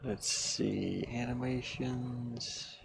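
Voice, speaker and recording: A man's voice: mid-range voice, very slow, gravelly. A man streaming live as he works, thinking aloud casually and trailing off mid-thought. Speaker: live streamer making a game. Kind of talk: casual livestream work commentary, thinking aloud. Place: live stream from his van. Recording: steady background noise.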